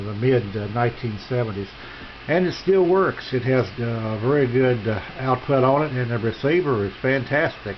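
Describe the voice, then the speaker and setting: Halting older man, dull lo-fi sound. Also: mid-range voice. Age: middle-aged or older. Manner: halting, hesitant, folksy delivery. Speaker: radio hobbyist presenting his equipment. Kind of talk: casual unscripted show-and-tell. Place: small home radio room. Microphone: handheld camera's built-in microphone. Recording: dull lo-fi camera audio, background noise.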